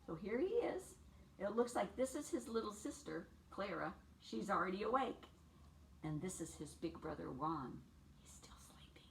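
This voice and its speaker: A woman in her sixties reading a picture book aloud to young children, phrasing things simply and deliberately and putting questions to her listeners. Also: mid-range voice, sing-song, vocal fry. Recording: distant mic with faint background noise.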